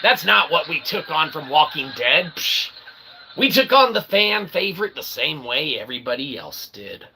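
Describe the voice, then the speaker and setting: A man's voice: on the high side, big pitch swings, normal speed, background noise. A man hosting a comic show in a rambling monologue, with a theatrical mock-showman manner and tongue-in-cheek asides. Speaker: host of a comic philosophy show.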